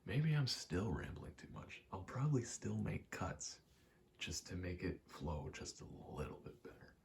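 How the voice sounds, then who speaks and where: A soft-spoken man giving a hesitant, self-deprecating ASMR ramble. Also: low-pitched, big pitch swings, moderate pace, vocal fry, a little hiss or hum. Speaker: video game ASMR creator.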